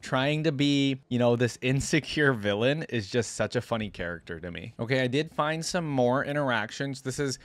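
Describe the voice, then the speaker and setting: A man's voice: medium pitch, animated, moderate pace, few pauses, no room sound. Casual young man, dry close mic. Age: young adult. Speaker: reaction video host. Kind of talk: casual commentary. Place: indoor gaming setup. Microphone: close desk microphone.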